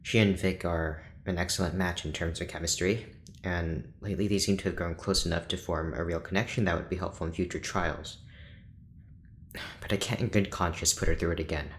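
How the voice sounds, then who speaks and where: A man playing a reserved, principled neurologist in an audio drama, speaking in a measured, formal, deliberate way with weary restraint. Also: low voice, fairly even intonation. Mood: troubled, reflective. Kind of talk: naturalistic audio drama acting.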